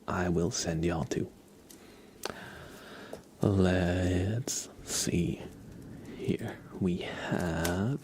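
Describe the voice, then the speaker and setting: A man streaming ASMR, chatting softly and gently in a breathy, often whispered voice, unhurried and informal, close to the microphone. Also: low voice, a little hiss or hum. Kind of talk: soft-spoken ASMR livestream chat.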